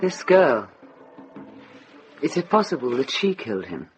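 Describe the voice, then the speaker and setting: A woman's voice: a bass voice, big pitch swings, measured pace, heavily acted. A woman playing a shrewd, kindly, mature medieval nun in a radio drama, frank and wry in manner. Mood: probing. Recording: dull recording with music behind.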